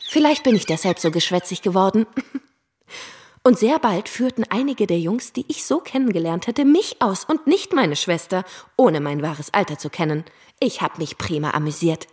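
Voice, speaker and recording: A woman's voice: medium pitch, expressive, normal speed, darkish tone, husky. A woman playing a gossipy, self-satisfied young woman in a radio drama, talkative and sure of herself. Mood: chatty, amused.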